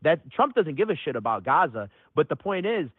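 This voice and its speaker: Excitable man on a tinny phone line. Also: fairly quick, husky, no echo. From Metro Detroit, USA. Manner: excitable, voice jumps high when agitated. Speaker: caller. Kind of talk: heated call-in debate.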